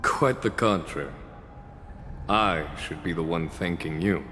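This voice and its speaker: Game general, low register, calm and calculating, eloquent, formal phrasing, reverberant, clearly audible backing music. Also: male voice, measured pace. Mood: composed, courteous. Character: composed, shrewd male general of the Alliance. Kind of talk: video game voice acting. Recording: long reverb under loud background music.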